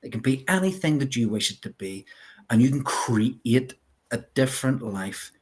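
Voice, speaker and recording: A man's voice: mid-range voice, expressive, moderate pace, husky. A man with a Belfast accent, a life coach guest explaining his ideas earnestly, with many fillers. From Northern Ireland. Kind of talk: interview chat.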